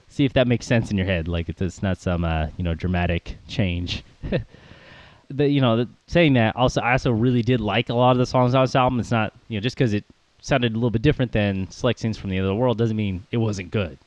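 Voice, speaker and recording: A man's voice: on the low side, a little fast, dry recording. A man co-hosting a casual podcast about music, rambling with plenty of fillers and little laughs. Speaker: podcast co-host.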